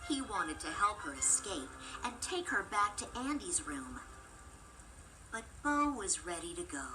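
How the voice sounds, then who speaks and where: Expressive woman storyteller over music. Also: mid-range voice, measured pace, rough recording. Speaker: story narrator. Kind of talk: expressive scripted children's read-aloud.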